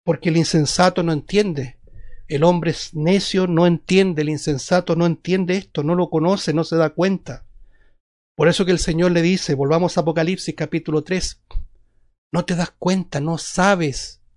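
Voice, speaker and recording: Male evangelical preacher, Chilean accent, high voice, teaching scripture with emphasis and repetition. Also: fairly even intonation, normal speed, a little mellow. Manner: didactic, emphatic, repeats phrases and asks rhetorical questions. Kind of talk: sermon, Bible teaching.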